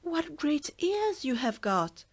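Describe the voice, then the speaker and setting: Woman reading as a little storybook girl, innocent and polite, high voice, lively swooping pitch. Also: takes it slowly, exaggerated voice. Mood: wondering. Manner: bright, wide-eyed questioning.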